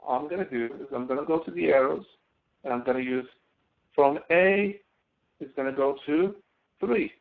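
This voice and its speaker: A male instructor heard through a thin, phone-like connection. He talks through an on-screen software demonstration in a halting, step-by-step way, as in a live online lecture. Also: on the high side, vocal fry. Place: online screen-share session.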